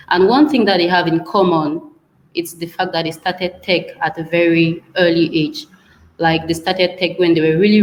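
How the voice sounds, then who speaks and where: A woman from Cameroon, a tech mentor explaining her views at a measured pace with frequent pauses on a video call panel. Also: lowish voice, rough recording. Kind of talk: panel discussion.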